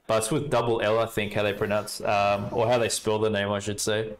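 A young Australian man casually commenting on his card game and chatting with viewers, relaxed and talkative. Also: on the low side, a little fast. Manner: relaxed, chatty, talks to chat while playing. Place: indoor room at a desk.

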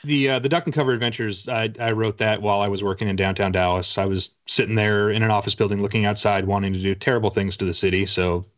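A middle-aged man from Canada talks in a fairly low voice, like an author in a relaxed interview. His manner is conversational and self-deprecating. The video-call audio is a little muffled. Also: quick pace, few pauses. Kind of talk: casual interview chat. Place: book-lined room indoors, video call.

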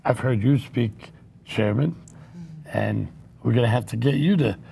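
A man's voice: mid-range voice, a little slow, dark tone, a little hiss or hum. An older man hosting a studio talk show, joking and bantering with his guests. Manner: joking, gravelly, flirtatious banter. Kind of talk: casual talk-show chat.

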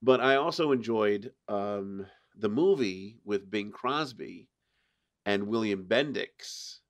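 Middle-aged man with a lowish voice. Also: animated, slow. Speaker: podcast host. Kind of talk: casual review chat.

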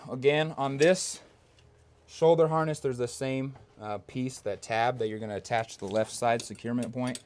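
A man's voice: mid-range voice, normal speed. Young man demonstrating bus wheelchair straps. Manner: steady step-by-step instruction with fillers. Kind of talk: hands-on equipment demonstration. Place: inside a small parked bus.

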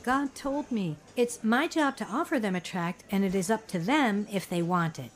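A woman's voice: mid-range voice, normal speed, natural voice. An actress as an earnest born-again woman telling her story. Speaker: lead actress and first-person narrator. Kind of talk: dramatized radio testimony narration.

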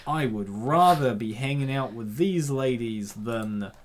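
Male host, playful and theatrical, very animated pitch, casual commentary on comics. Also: measured pace.

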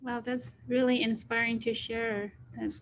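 Hesitant woman asking flat questions. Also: fairly high pitch, measured pace, slight background noise. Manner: hesitant, many "um" fillers. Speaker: oral history interviewer. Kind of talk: interview questions.

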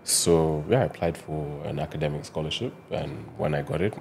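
A Kenyan man in his thirties, a podcast guest explaining things in a measured way, often adding "you know", recorded on a studio mic. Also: a bass voice, vocal fry, darkish tone, slight background noise. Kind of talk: conversational interview. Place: studio with neon sign, indoors. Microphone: podcast mic on boom arm.

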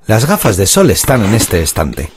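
A woman playing the narrator of a children's cartoon, telling the story in an expressive, scripted style. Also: extremely low pitch, normal speed, music in the background, exaggerated voice. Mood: storytelling.

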